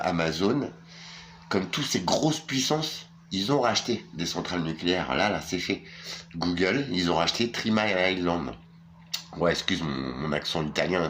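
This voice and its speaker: A man's voice: sits low, fairly bright tone, slight background noise. A tired middle-aged man from France, ranting casually to camera, crude and rambling with many fillers and restarts. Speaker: vlogger.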